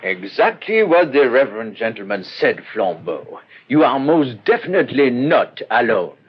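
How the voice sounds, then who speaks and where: An actor playing a self-assured male police inspector in an old radio drama, husky, clipped and authoritative. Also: mid-range voice, big pitch swings, normal speed, a little hiss or hum, lightly acted. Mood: commanding. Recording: dull old radio recording.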